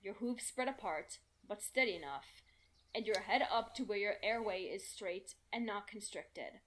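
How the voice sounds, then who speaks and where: A woman voicing a calm, patient female unicorn who teaches magic in a measured, instructive way, in a fan fiction audio reading. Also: mid-range voice, slight background noise, natural voice, rough recording.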